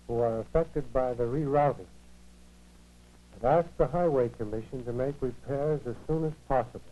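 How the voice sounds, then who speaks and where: This is an actor as a courteous male school supervisor from a 1940s training film. His mid-range voice is measured, formal and efficient. The soundtrack is dull and lo-fi. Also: mid-range voice, close to an everyday voice. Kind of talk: 1940s educational film acting.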